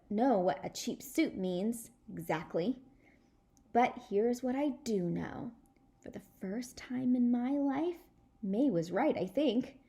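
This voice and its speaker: A woman reading a children's storybook aloud in an animated, expressive style. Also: mid-range voice, creaky. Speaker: storybook reader.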